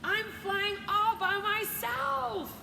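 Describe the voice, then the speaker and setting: A woman's voice: extremely high pitch, slow pace, vocal fry, over music, pitched above the performer's own voice, full character voice. Woman as timid young reindeer, echoey room. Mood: amazed, thrilled. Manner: hesitant, reluctant. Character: timid, nervous young male reindeer afraid to fly.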